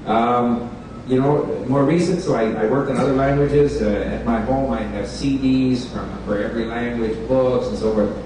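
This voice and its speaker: A man's voice: medium pitch, moderate pace. Man speaking in an echoey bookstore. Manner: relaxed, chatty, switches languages, asks the audience questions. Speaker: speaker giving a talk on language learning. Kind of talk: informal public talk to an audience. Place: bookstore event space. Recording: echoey room recorded from far off, background noise.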